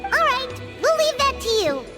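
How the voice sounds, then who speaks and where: A woman voicing a small, childlike fairy companion in a video game, squeaky, cheerful and chatty. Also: sing-song, moderate pace, bright, forceful tone, heavily acted. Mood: matter-of-fact, chirpy. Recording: music behind the voice. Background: game music.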